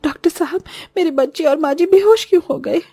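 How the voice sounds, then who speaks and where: A woman's voice: extremely high pitch, brisk, warm tone, dry recording, soft background music, voice pushed up. A woman playing a distraught village mother with an anxious, imploring manner in a cartoon story. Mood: worried.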